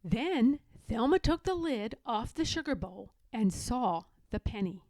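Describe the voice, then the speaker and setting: A woman's voice: a little high, sing-song, measured pace, darkish tone, dry recording, studio quality. A woman narrating a children's storybook expressively as a read-aloud storyteller.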